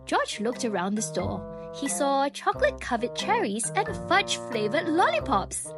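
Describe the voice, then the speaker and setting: Animated high-voiced woman reading a storybook. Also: over music. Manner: animated, stretching key phrases slowly for young listeners. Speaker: narrator reading a children's book. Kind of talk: expressive storybook read-aloud.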